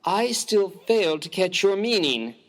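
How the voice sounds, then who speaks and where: A man's voice: high-pitched, big pitch swings, slow, fairly bright tone, exaggerated voice. A man playing a prim, bookish, easily bewildered clergyman in a dramatized comic story, speaking formally and with pompous precision. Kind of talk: dramatized reading of a humorous story.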